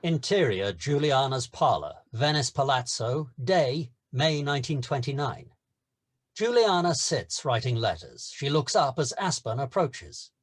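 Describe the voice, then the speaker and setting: A man's voice: medium pitch, big pitch swings, unhurried, slightly rough. A man giving scripted narration, reading stage directions at a screenplay table read.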